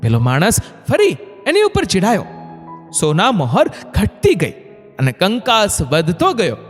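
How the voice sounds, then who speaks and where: A man narrating a scripted moral story in an expressive, dramatic way. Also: sits high, measured pace. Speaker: narrator of motivational stories. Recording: long hall reverb, music bed. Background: background music.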